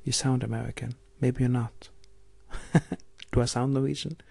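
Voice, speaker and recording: A man's voice: medium pitch, flat delivery, normal speed, gravelly, darkish tone, breathy edge, no room sound. A man in his late thirties from Norway, leaving a friendly, rambling voice message for the host. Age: 30s. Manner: friendly, chatty, rambling questions. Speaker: caller. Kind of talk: recorded voice message.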